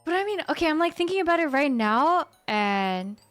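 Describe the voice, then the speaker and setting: A woman's voice: high-pitched, big pitch swings, moderate pace, a bit bright, no room sound, soft background music. A young woman in her twenties, chatting casually on a live stream in a laid-back way, reading chat aloud.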